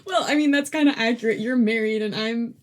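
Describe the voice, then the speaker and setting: A woman's voice: on the high side, fairly quick. A woman around twenty, a student co-hosting a podcast, talking in a casual, chatty way and often saying "like". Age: 20s. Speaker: podcast co-host, neuroscience student. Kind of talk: casual chat.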